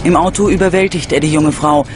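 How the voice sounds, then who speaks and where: Higher-pitched male documentary narrator over music. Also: fairly even intonation, a little fast. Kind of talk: scripted true-crime narration.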